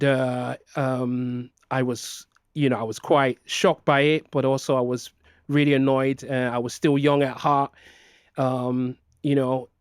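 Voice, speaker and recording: A middle-aged man who grew up in Nigeria talks through a personal story in a halting way, pausing on ums and restarting his sentences. His voice sits at an ordinary pitch. The close mic gives a dry, unreverberant sound. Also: no echo. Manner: hesitant, many fillers and restarts. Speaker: guest. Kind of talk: personal interview.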